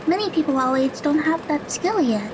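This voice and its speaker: A woman as a very high-voiced optimistic teenage girl. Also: lively intonation, normal speed, background noise. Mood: reassuring. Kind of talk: audio drama acting.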